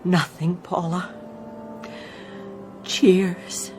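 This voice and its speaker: A woman acting in a radio drama as a shaken but controlled senior flight attendant, measured and professional. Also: lowish voice, little pitch movement, music in the background. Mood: guarded, professional.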